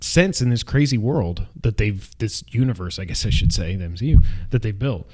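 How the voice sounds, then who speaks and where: A man's voice: fairly low pitch, moderate pace. Casual, rambling male co-host. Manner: rambling, self-correcting, excitable asides. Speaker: film review co-host. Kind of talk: casual unscripted movie discussion.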